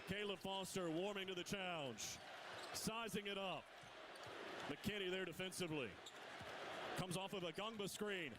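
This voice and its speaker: A man calling a live college basketball game on radio, his voice climbing with each big play. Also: high-pitched. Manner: rapid, rising excitement on big plays. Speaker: play-by-play announcer. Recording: broadcast with loud arena noise.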